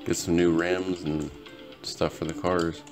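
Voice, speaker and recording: A man's voice: sits low, flat delivery, unhurried, soft background music. A tired, laid-back man giving casual, rambling gameplay commentary to his viewers as host of a gaming video. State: tired, just woke up.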